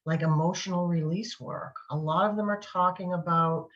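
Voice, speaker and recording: A woman's voice: lowish voice, fairly even intonation, normal speed, a bit bright. A middle-aged woman chatting casually as a guest, thoughtful and a little hesitant in her phrasing. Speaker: guest author and massage therapist. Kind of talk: casual chat. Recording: dull lo-fi call audio.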